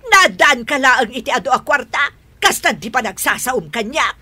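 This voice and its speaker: A woman's voice: high voice, expressive, normal speed, pushed and bright, husky, full character voice. An actress playing a pleading, nagging mother in a radio drama. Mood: nagging, hurt. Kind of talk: Ilocano radio drama acting.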